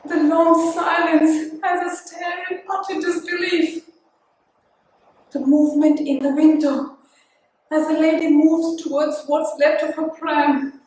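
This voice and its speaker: A woman's voice: high voice, little pitch movement, takes it slowly, darkish tone, slight background noise. A young woman acting a bitter, tormented pedestrian in a dramatic theatrical monologue, intense and scornful. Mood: tense, shaken. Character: bitter, judgmental, tormented pedestrian recounting a street incident. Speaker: student actor. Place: studio, live-streamed stage performance. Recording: very echoey studio livestream, distant mic.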